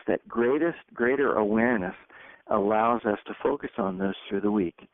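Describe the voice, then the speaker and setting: A man's voice: mid-range voice, gravelly, no room sound. A man facilitating a group coaching call, speaking in short, emphatic bursts as a leadership coach. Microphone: telephone. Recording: tinny phone conference line.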